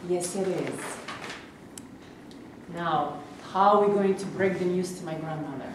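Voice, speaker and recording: A woman's voice: lowish voice, a little slow, natural voice. A woman acting a stubborn, protective adult granddaughter in a staged reading, insistent and emotional. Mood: earnest, resigned. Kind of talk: amateur staged reading of a play. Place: live staged reading in a reverberant room. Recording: very echoey room, distant mic, faint noise.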